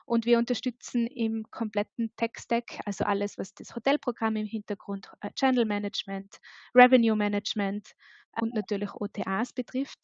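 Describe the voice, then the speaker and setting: A woman with an Austrian accent, a hotel consultant explaining in a lively, upbeat webinar presentation. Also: a little high, moderate pace, no echo, dull audio. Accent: Austrian German.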